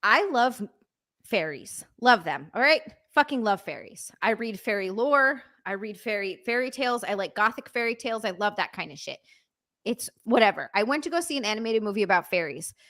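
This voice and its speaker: A woman from Hawaii co-hosting a casual livestream, blunt and deadpan-sarcastic. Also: mid-range voice, a little fast. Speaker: co-host.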